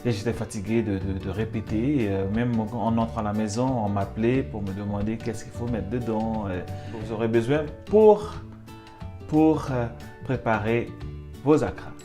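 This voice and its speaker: A man's voice: lowish voice, moderate pace, music in the background. A man from the Netherlands with a Dutch accent, speaking French, talking through a home cooking video with many hesitations and restarts. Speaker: home cook.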